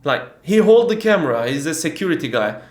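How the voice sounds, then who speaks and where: A man's voice: on the high side, very animated, brisk, echoey room. A young man from Turkey, speaking English with a Turkish accent as a non-native speaker, telling a travel story in a casual vlog with lots of fillers. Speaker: travel vlogger.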